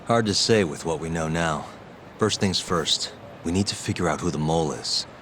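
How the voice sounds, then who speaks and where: Cool low-voiced male detective. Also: normal speed, fairly bright tone, a little hiss or hum. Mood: focused. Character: cool, determined male lawyer turned private detective. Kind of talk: English video game voice acting.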